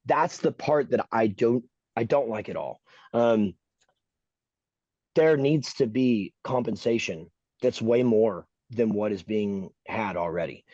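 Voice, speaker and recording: A man's voice: fairly low pitch, slow pace, mellow, dry recording, lo-fi sound. A man co-hosting a podcast, talking casually and bluntly, ranting with emphasis and frequent swearing. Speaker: podcast co-host.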